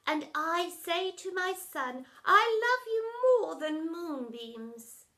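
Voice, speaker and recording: A woman voicing a sappy, doting mother who makes slow, sugary declarations. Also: extremely high pitch, lively intonation, sharp tone, voice pushed up, exaggerated voice.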